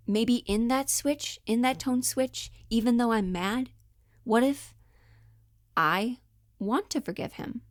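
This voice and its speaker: A woman's voice: a little high, no echo, clean studio sound. A woman giving a casual acting lesson, informal and thinking aloud as she demonstrates. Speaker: acting teacher. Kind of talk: casual instructional talk on acting.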